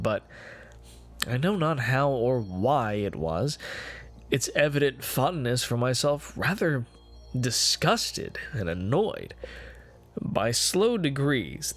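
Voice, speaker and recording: A man performing a dramatic gothic horror reading as a guilt-ridden, morbid narrator, with formal, deliberate, theatrical phrasing. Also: medium pitch. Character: guilt-ridden, morbid male narrator confessing his cruelty. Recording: music playing behind the voice. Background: music.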